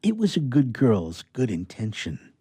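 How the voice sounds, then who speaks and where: A man playing an earnest, honest doctor in love in a radio theatre reading, speaking solemnly and a little haltingly. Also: mid-range voice, huge pitch swings, dark tone, slightly rough, no echo, heavily acted. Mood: solemn, grateful.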